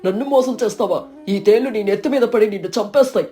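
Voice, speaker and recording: A woman voicing a greedy, scheming and vengeful male villager in an animated moral story, boastful and exclamatory. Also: mid-range voice, quick pace, soft background music, lightly acted. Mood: vengeful anger.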